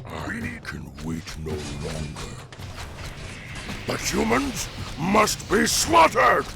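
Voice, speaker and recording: An actor playing a proud, bloodthirsty veteran orc chieftain in a video game, with a brooding, menacing growl. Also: male voice, huge pitch swings, very slow, full character voice. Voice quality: very deep, gravelly growl. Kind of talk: video game cinematic acting. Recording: game music behind the voice.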